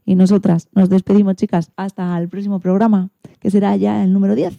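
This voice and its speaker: A woman's voice: medium pitch, flat delivery, fairly quick, vocal fry, mellow, no echo, lo-fi sound. A woman co-hosting a radio show, chatty and colloquial as she presents informally and addresses listeners directly.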